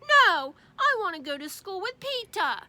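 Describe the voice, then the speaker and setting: A woman's voice: extremely high pitch, very animated, measured pace, sharp tone, pitched above the performer's own voice, full character voice. A woman voicing an anxious, stubborn little boy who pleads and insists in a storybook. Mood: loud, insistent.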